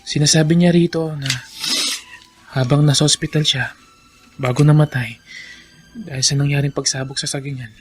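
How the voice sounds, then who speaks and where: An actor playing an earnest, respectful confidant in a Filipino radio drama, with a solemn dramatic delivery. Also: male voice, fairly high pitch, fairly even intonation, normal speed, long pauses, music in the background, lightly acted.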